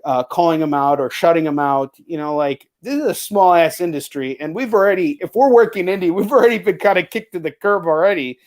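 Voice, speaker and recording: A man with a fairly high voice chats as a livestream host. He rambles in slangy, profane language, as if shooting the breeze with a fellow creator. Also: fairly quick, hardly any pauses, a little mellow. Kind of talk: casual chat with a guest.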